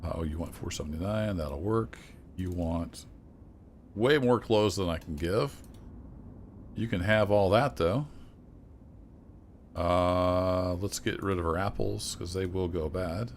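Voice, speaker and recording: A man streaming a city-building game, chatting casually and thinking aloud as he plays, his voice a little rough. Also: low voice, unhurried, pauses often, a little hiss or hum. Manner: relaxed, thinking aloud with fillers and restarts. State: slightly rough voice. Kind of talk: casual gameplay commentary.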